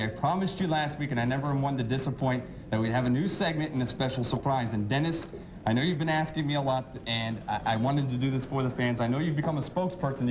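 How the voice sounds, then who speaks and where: A man's voice: mid-range voice, poor audio. A man hosting a sports show with a polished announcer's delivery. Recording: crowd chatter behind the voice. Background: crowd chatter.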